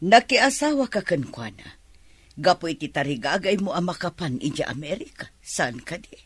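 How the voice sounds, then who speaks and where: A woman's voice: on the low side, very animated, normal speed, breathy edge, no echo. A middle-aged woman acting a worried, scolding mother in an Ilocano radio drama.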